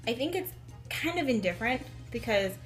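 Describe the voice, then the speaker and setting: A woman's voice: fairly high pitch, big pitch swings, brisk, faint music behind. A woman giving a thoughtful, casual interview answer, correcting herself as she goes and saying "like" often. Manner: thoughtful, self-correcting, filler like.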